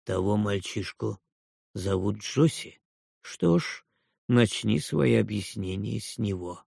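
A man voicing a stern, emotionless wealthy father who speaks in a low, clipped, commanding way. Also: lively intonation, slow, creaky, warm tone, breathy edge, dry recording, clean studio sound, heavily acted. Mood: cold.

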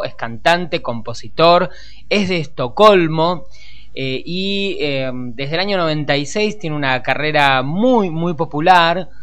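A man's voice: on the high side, fairly bright tone, clear, resonant tone. A man with an Argentine accent hosting a radio show and introducing music in a semi-improvised way. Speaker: radio host.

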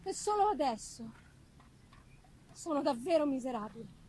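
A woman voicing an anguished, condemned young servant woman in a dramatic reading, halting and pleading. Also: high-pitched, animated, warm tone, slight background noise, voice pushed down, low-quality recording. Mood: pleading, despairing. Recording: live music behind.

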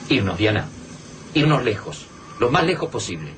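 Man playing an insistent Argentine survivor, animated pitch. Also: moderate pace, lightly acted. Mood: warning. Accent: Argentine, Rioplatense. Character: hard-headed, pragmatic male survivor urging escape. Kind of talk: radio drama acting. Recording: radio drama with noisy background.

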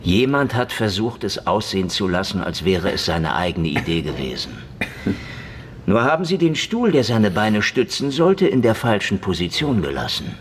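An actor playing a cynical, world-weary Russian ex-detective in a noir radio drama, with a wry, mocking delivery. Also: male voice, lowish voice, sing-song, moderate pace, a little hiss or hum. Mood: grim irony. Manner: sardonic, wry, theatrical delivery.

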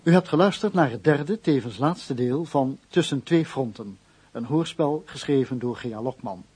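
A man announcing radio play credits in a formal, measured, scripted manner. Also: medium pitch, lively intonation, darkish tone.

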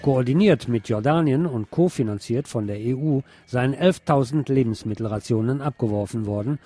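A man reading scripted radio news in a measured, formal manner. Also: mid-range voice, dark tone, faint music behind.

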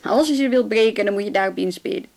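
An actress in a radio play voicing a brisk, wry female police inspector with a dry, businesslike manner. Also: medium pitch, gravelly, darkish tone, close to an everyday voice. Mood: curt, resolute. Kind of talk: radio drama acting.

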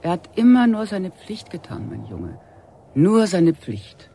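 An anxious wife in a radio drama. Her voice is creaky and swings widely in pitch. She sounds plaintive and insistent, with faint ambience around her. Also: very animated, unhurried, warm tone, a little hiss or hum, heavily acted. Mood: plaintive, defensive. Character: anxious wife and mother defending her husband.

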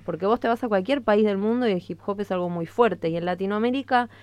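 An Argentine woman giving a conversational radio interview as a musician, explaining things in a reflective, halting way. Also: medium pitch, a little mellow, smooth, dry recording. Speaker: interviewed hip-hop musician.

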